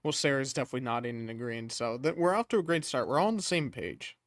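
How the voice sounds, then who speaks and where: A man's voice: mid-range voice, lively intonation, quick pace, no room sound, clean studio sound. A man in his twenties hosting a podcast, chatting casually and joking with frequent laughs. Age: 20s. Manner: jokey, laughs often. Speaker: podcast host.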